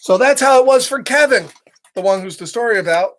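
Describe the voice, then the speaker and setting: A middle-aged man hosts a live story time for children. He reads from a picture book in an animated, playful way. He breaks off often to chat, ask questions and greet listeners by name. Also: very high-pitched, fairly quick. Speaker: storybook read-aloud host.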